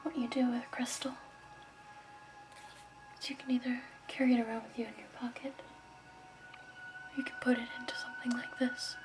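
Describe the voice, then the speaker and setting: A woman playing a gentle, soothing crystal shop keeper in a hushed, patient ASMR roleplay whisper. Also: fairly high pitch, little pitch movement, normal speed, pauses often, over music, lightly acted, poor audio. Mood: gentle, explaining.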